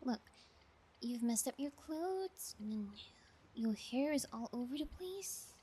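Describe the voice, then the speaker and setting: A woman's voice: high-pitched, animated, warm tone, slight background noise. A woman playing a callous, playfully menacing kidnapper in an ASMR roleplay, talking in a taunting, nonchalant way. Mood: condescending, coaxing. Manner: taunting, nonchalant, slow and deliberate. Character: callous female yangire kidnapper, playfully menacing, bullying sociopath. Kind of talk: ASMR audio roleplay acting.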